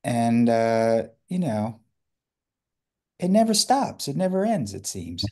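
Mellow older man. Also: mid-range voice, big pitch swings, slow. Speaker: discussion participant. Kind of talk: reflective casual comment. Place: living room, video call. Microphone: computer or tablet mic on video call.

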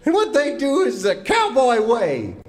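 A man's voice: very high-pitched, huge pitch swings, unhurried, in a large hall, full character voice. A man playing a naive, eager, folksy cowboy deputy in a melodramatic radio serial parody. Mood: earnest. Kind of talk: old-time radio serial parody acting.

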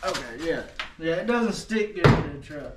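A man's voice: fairly high pitch, lively intonation, normal speed, heavy background noise. A man casually filming an unboxing and test in his workshop, talking in a rambling, offhand way with lots of fillers. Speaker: video host. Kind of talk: casual unboxing and testing vlog. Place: workshop, by a truck.

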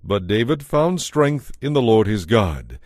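A man narrating a dramatized Bible as scripted storytelling, in a solemn, measured manner. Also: on the low side, very animated, a little mellow, no room sound, clean studio sound.

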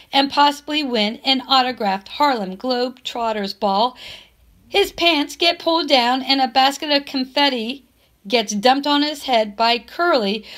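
A woman reading a children's novel aloud in expressive narration, with measured phrasing and emphatic stresses. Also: on the high side. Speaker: teacher reading a book aloud.

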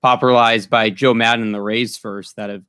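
A man's voice: on the low side, flat delivery, brisk, sharp tone, no echo. Young man interviewing, dry close sound. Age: young adult. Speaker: interview host. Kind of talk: conversational interview questioning. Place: small room at home, indoors. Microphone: video call.

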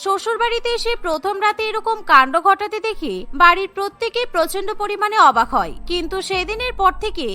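A woman's voice: extremely high pitch, animated, brisk, hardly any pauses, pushed and bright, faint music behind. A woman giving scripted narration for a cartoon story.

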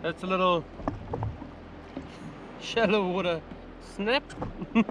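A man's voice: high voice, slow, background noise. A young man, chatting casually as he fishes from a jet ski on the open sea and laughing often. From New Zealand. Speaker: fishing vlogger. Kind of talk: casual fishing vlog commentary. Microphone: action camera mounted on the ski.

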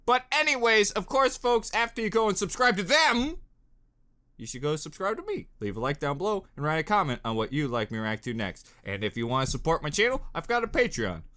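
Young male reaction host, lively swinging pitch, bright tone, joking and chatty. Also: fairly quick. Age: young adult. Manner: animated, joking. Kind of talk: casual reaction commentary. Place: small cluttered bedroom. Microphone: desktop condenser microphone.

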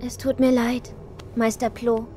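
Eine Frau spielt eine junge, entschlossene Jedi-Schülerin in einem deutschen Zeichentrick-Hörspiel. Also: female voice, on the high side, level tone, a little slow, mellow, soft background music, close to an everyday voice. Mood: subdued, sympathetic. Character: young girl Jedi apprentice, earnest and determined. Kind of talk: German animated-series audio drama dubbing.

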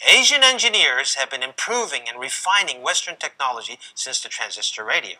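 Korean-born male host, middle-aged, animated scripted delivery with hand gestures, music bed underneath. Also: mid-range voice, a little fast, rough recording. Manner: lively, emphatic delivery with sweeping hand gestures. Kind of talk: scripted presenting to camera. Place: large indoor museum gallery.

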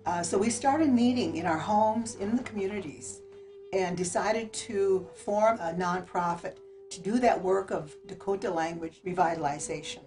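A woman clearly and steadily explaining an organization's mission. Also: medium pitch, normal speed, a little mellow, faint music behind. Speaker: organization spokesperson. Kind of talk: explanatory narration.

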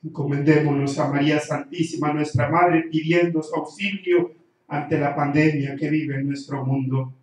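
A middle-aged man, a priest, slowly reciting the prayers of the Mass at the altar of a small church. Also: on the high side, steady tone. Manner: slow, measured recitation. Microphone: handheld mic at times, heard from some distance. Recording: very echoey church, far from mic.

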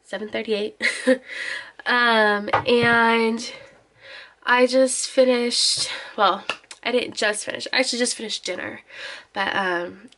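A woman's voice: on the high side, steady tone, takes it slowly, pauses often, a bit bright. A young woman in her twenties with a cold and a slightly nasal voice, chatting casually to camera as a vlogger with lots of ums and likes. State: sick, nasal. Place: parked car, then walk-in closet. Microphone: handheld camera close up.

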